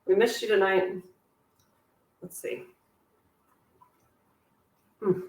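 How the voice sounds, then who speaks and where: A woman with a slightly squeaky voice hosts a live cooking class, answering viewers' questions in a chatty way with self-deprecating asides, while a sore back bothers her. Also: fairly even intonation, drawn-out words. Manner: chatty, warm-hearted asides, laughs at herself. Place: home kitchen. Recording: echoey kitchen, voice from a distance. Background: clinking cutlery and dishes.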